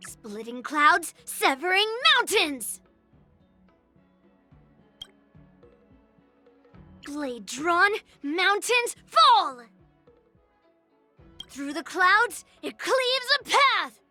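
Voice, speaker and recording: A woman voicing a spirited, confident young girl swordswoman in a video game, brash and expressive. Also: very high voice, extremely slow, dry recording, faint music behind, exaggerated voice. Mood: fierce battle cries. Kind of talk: video game character voice lines.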